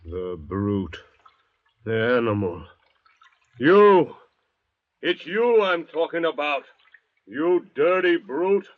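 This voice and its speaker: Surly, slow-talking young convict. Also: male voice, on the high side, sing-song. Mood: disgusted, hostile. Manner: blunt, sneering, demanding. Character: surly, brutish, distrustful young convict, defiant and hostile. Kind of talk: old-time radio drama acting. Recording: dull vintage radio recording.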